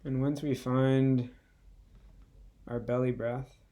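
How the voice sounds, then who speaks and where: A man guiding a meditation in a gentle, unhurried way, leaving long pauses between short phrases. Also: mid-range voice, flat delivery. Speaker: meditation guide. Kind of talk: guided body scan meditation.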